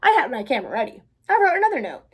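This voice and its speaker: High-pitched, animated woman reading a storybook. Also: rapid-fire, slightly rough. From United States. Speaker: read-aloud host. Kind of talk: expressive children's storybook reading.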